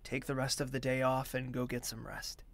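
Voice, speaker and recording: An actor playing a gentle, reserved young prince in an audio drama, courteous and measured, with formal wording and a self-doubting streak. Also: male voice, mid-range voice, flat delivery, dry recording, natural voice. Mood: apologetic, considerate. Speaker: character actor and first-person narrator. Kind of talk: scripted audio drama with inner monologue.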